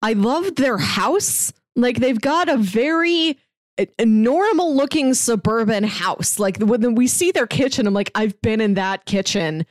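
A woman's voice: a little high, animated, measured pace, no room sound. A woman co-hosting a podcast, casually discussing a film in a conversational, easily amused way. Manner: conversational, often laughs while talking. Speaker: podcast co-host.

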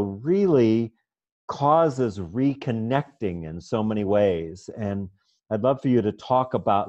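A man hosting an interview, asking thoughtful, drawn-out questions with a soft laugh now and then. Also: lowish voice. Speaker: host. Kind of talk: conversational interview. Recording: dull lo-fi audio.

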